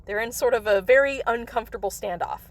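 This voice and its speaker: A woman hosting a game livestream in casual, rambling chat, her voice slightly raspy from coughing. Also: on the high side, fast. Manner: rambling, chatty, with frequent laughs. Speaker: livestream host. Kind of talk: casual chat while playing a mobile game.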